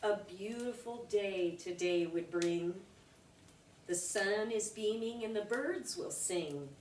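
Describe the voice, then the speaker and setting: A woman reading a rhyming children's picture book aloud in an expressive, deliberate way for young listeners. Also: medium pitch. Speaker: storybook reader. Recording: roomy, distant mic with background noise.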